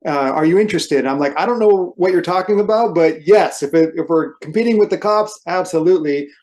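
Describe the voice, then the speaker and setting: A male fitness trainer talks as a guest on a podcast, his voice a bit higher than average. He explains things informally and leans on storytelling to make his points. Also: a little fast, darkish tone. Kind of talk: casual podcast conversation.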